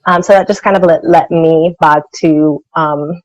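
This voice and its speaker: A woman's voice: sits low, fairly even intonation, unhurried, a little mellow, no room sound, low-quality recording. A woman in her thirties from Venezuela, answering interview questions conversationally and informally, often saying "like". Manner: informal, peppers sentences with "like". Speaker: interview guest, senior financial analyst.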